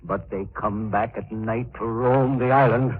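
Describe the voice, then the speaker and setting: An actor playing a fearful, superstitious boat captain who gives ominous warnings in melodramatic 1940s radio style. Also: male voice, fairly low pitch, fairly even intonation, a little slow, slightly rough. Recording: noisy, narrow-band 1940s radio recording.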